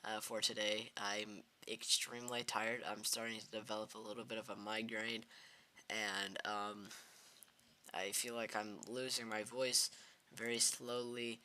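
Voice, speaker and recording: A man hosting live severe weather coverage, talking in a halting way with many fillers and restarts. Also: fairly low pitch, little pitch movement, sharp tone, clear, resonant tone. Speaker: livestream weather host.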